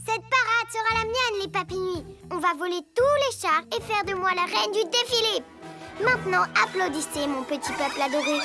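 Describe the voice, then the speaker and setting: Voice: extremely high pitch, expressive, moderate pace. A performer voicing a bossy, mischievous little villain girl, theatrical and mocking, in a French children's cartoon. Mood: gloating, imperious. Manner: imperious, mocking. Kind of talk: French animated children's series dub. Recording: loud music behind the voice.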